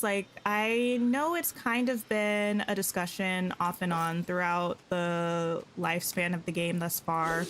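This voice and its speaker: A woman live-streaming a game, chatting casually and answering her viewers, her voice slightly scratchy. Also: on the low side. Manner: chatty, greets and answers viewers, thinks aloud. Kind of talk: casual live-stream chat while playing.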